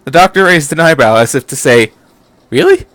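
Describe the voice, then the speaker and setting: A man's voice: fairly high pitch, lively intonation, fast talker, a bit bright, no room sound. A man reading a story aloud as an amateur narrator, in scripted prose. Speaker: amateur fan fiction reader.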